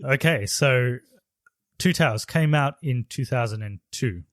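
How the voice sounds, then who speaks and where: A man hosting a casual podcast, leading the talk in a relaxed, steady way on a studio mic. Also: mid-range voice, unhurried, no echo. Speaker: podcast host.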